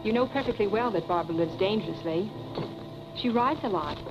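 Actress doing young scheming mistress in old 40s movie, coy sweet talk, dull sound, music behind. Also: female voice, mid-range voice, expressive, a little fast, close to an everyday voice. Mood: coy, pleading. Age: young adult. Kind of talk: 1940s film melodrama acting. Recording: muffled 1940s film soundtrack, music behind.

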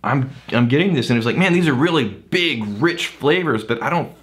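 A man's voice: medium pitch, animated, brisk, fairly bright tone. Guy co-hosting a whiskey tasting, dry and skeptical, kinda hesitant. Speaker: co-host. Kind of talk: casual tasting chat. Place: home bar room lined with bottle shelves.

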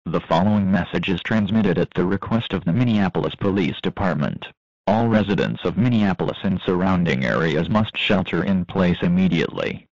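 A man's synthetic voice reading an emergency alert in a flat, even manner. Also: sits low, fairly quick, no room sound, lo-fi sound.

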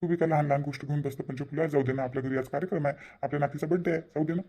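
A man's voice: a little high, fairly even intonation, very fast, mellow. A man voicing a sensible, hospitable cartoon grandfather with a chiding, reasoning way of talking and a Vidarbha Marathi accent. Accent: Vidarbha Marathi (Varhadi). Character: sensible, hospitable grandfather scolding his tactless wife. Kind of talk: cartoon family drama dialogue.

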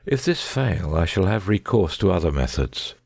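A man playing a shrewd, composed gentleman detective in a dramatic audiobook reading, precise and measured, with quiet authority. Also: low-pitched, big pitch swings, gravelly, breathy edge, no room sound, studio quality, heavily acted. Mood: brisk, assured.

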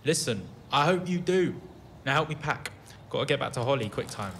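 A man's voice: medium pitch, animated, brisk, fairly bright tone. An actor playing a blunt, laid-back young man behind a camera in an audio drama, casual and matter-of-fact, with a British accent. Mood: casual, offhand. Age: young adult. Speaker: actor in a fiction podcast. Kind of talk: naturalistic audio drama dialogue. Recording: faint ambient background noise.

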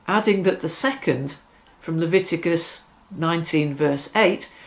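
A middle-aged woman leading devotional prayers and reflection in a deliberate, phrase-by-phrase way. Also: on the low side. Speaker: prayer leader. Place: small domestic room, seated on a sofa.

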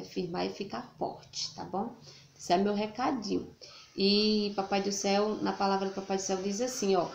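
A woman in her thirties speaks in an earnest, chatty way into a phone camera, keeping her pitch flat and level. The small room around her rings with echo, and a faint hum sits underneath. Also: creaky. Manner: earnest, repeats "né?" and "tá bom?" often. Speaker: family vlogger. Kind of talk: casual devotional vlog talk. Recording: echoey room, faint ambient noise.

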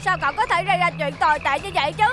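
A woman's voice: very high voice, very fast. A woman voicing an earnest, bossy little robot boy hero in a cartoon dub, emphatic and commanding. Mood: scolding. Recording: music behind the voice.

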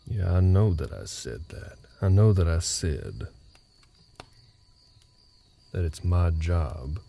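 Gruff, guarded cowboy ranch hand male actor, audio roleplay. Low and gravelly, terse, folksy. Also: animated, takes it slowly, dark tone, heavily acted. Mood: exasperated. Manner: gruff, terse, rustic folksy drawling phrasing. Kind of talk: intimate roleplay audio drama. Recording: nighttime cricket ambience behind voice. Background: crickets.

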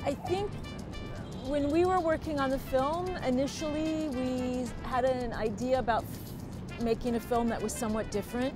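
An older woman speaks in a slightly high voice. She reflects on her film in a measured, explaining way. Clear music plays underneath. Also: fairly even intonation. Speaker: documentary filmmaker. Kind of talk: reflective interview answers. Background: background music.

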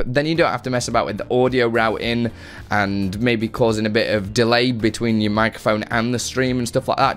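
A man in his thirties hosting a casual, chatty software tutorial at a close desk microphone indoors. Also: fairly low pitch. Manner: chatty, informal. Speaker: tutorial host. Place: home room with guitars, indoors. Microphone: close desk microphone on boom arm.

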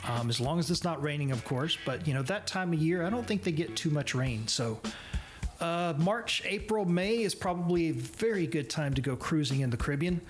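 A man's voice: a little high, animated, normal speed, soft background music. Chatty man narrating a boat vlog. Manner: rambling, conversational, with fillers. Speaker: travel vlogger. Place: outdoors on a boat in a lagoon. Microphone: 360 camera.

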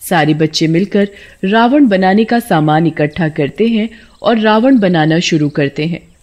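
A woman's voice: on the low side, unhurried, darkish tone. A woman reading scripted narration as the storyteller of a children's tale.

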